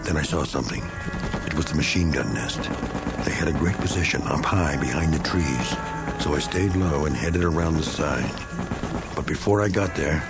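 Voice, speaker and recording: An actor playing a bitter, taunting American war veteran in a radio drama, with a gruff, sarcastic manner and an American accent. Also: male voice, extremely low pitch, normal speed, loud music behind the voice. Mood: tense storytelling.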